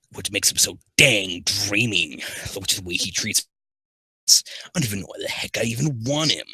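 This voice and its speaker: A man voicing a lovestruck young hedgehog hero, reading fan fiction aloud in an earnest, halting, wistful way. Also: low voice, vocal fry, pushed and bright, breathy edge, dry recording, full character voice, low-quality recording. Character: lovestruck young male hedgehog hero narrating his secret crush.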